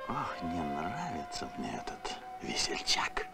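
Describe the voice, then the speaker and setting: A man voicing a gloomy, pessimistic spaceship captain in an animated film, with a glum, grumbling manner. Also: extremely low pitch, slow pace, vocal fry, over music, heavily acted. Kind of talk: Soviet animated film acting.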